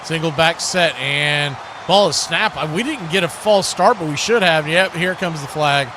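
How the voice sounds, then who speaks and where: A man's voice: a little high, measured pace, noisy background. A man hosting a livestream, calling football play-by-play and chatting casually with viewers. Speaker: livestream host and play-by-play commentator.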